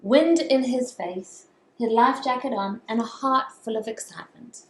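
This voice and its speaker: A woman reading a children's story aloud as a storyteller, with expressive, drawn-out dramatic phrasing. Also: fairly high pitch. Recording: echoey room with faint background noise.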